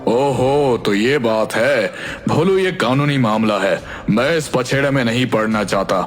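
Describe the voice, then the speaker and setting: A man playing a reluctant, placid village headman in a cartoon, measured and noncommittal. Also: mid-range voice, animated, natural voice. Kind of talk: animated cartoon character acting. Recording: music bed under the voice.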